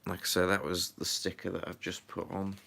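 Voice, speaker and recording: A man talks loosely through a live stream, full of ums and half-muttered asides as he works. His voice sits very low with a creaky, gravelly edge. He sounds a little stuffy from a runny nose. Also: monotone, brisk, sharp tone, slight background noise. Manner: rambling, filler-heavy, mutters to himself while working. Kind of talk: casual live-stream chat while building.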